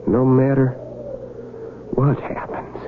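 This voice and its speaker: An actor playing a frightened, guilt-ridden young Civil War soldier in an American radio drama, with rustic, halting speech. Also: male voice, medium pitch, monotone, close to an everyday voice. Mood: scared, subdued. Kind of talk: 1970s radio drama acting. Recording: muffled vintage radio, heavy battle noise.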